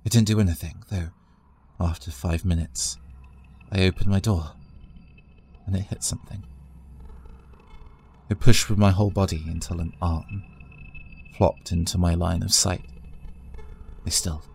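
A man's voice: low voice, fairly even intonation, a little slow, creaky, long pauses, darkish tone, a little hiss or hum. A man narrating a scripted first-person horror story in a dramatic, tense storytelling manner.

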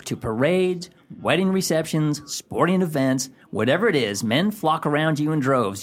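An actor playing a surly, domineering man in a radio comedy, blunt and emphatic in his delivery. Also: high-pitched. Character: surly, domineering man scolding the woman who adores him. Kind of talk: radio comedy play acting.

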